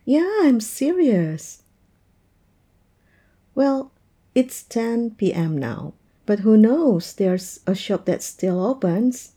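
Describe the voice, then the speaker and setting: A woman's voice: a little high, big pitch swings, dark tone, full character voice. A woman acting an oversensitive young woman in an intimate audio roleplay, speaking to her partner in a hesitant, plaintive way. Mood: annoyed, pouting. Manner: hesitant, plaintive, halting delivery. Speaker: roleplay voice performer. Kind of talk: intimate audio roleplay, one-sided dialogue.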